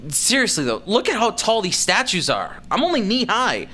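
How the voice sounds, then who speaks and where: Audio drama pilot, female actor: anxious wreck, mid-pitched, hugely animated intonation, grumbling and skeptical. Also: moderate pace, noisy background, close to an everyday voice. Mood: worried. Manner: nervous, complaining, dryly skeptical. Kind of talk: scripted audio drama acting.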